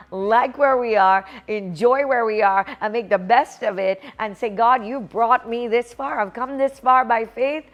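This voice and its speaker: A woman's voice: on the high side, muffled recording. Pastor lady in her 40s preaching, tells stories then gets all fired up. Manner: storytelling, rising to exuberant exhortation. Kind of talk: sermon.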